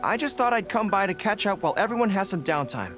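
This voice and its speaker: An actor playing a young, earnest and sincere general in a video game, gentle and loyal to his soldiers. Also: high voice, sing-song, fast, over music. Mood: earnest, warm.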